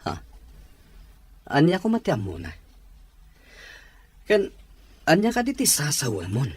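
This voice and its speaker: A man's voice: on the high side, wildly swooping pitch, measured pace, a little mellow, breathy edge, no echo, exaggerated voice. A man voicing an evasive married businessman who dodges questions, in an audio drama.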